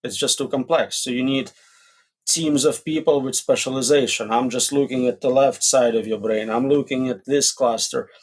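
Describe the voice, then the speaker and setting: A man's voice: medium pitch, steady tone, normal speed. A man answering as an expert guest in an interview, explaining in short, plain statements with examples. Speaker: interview guest, AI safety researcher.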